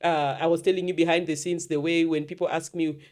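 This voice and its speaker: Deep-voiced talkative woman. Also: level tone, brisk, a little mellow. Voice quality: deep, heavy voice. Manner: talkative, storytelling, mixes in Swahili words. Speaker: podcast host and therapist. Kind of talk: casual conversational interview.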